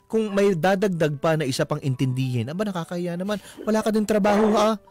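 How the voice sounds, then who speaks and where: A man's voice: high-pitched, quick pace, darkish tone. A man hosting a radio advice show, explaining conversationally with personal examples and often checking for agreement. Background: music.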